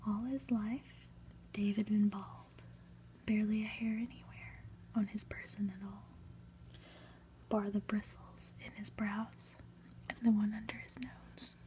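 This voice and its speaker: A woman hosting ASMR, whispering gently as she reads a book aloud with casual asides. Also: medium pitch, level tone, a little hiss or hum. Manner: gentle, unhurried, soft-spoken. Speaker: ASMR host.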